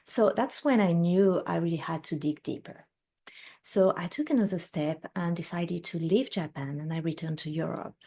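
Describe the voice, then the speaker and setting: A woman in her thirties from France with a French accent, a guest telling her own health story in an earnest, measured way. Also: fairly low pitch, warm tone. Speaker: guest, women's health specialist. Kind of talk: interview, personal storytelling.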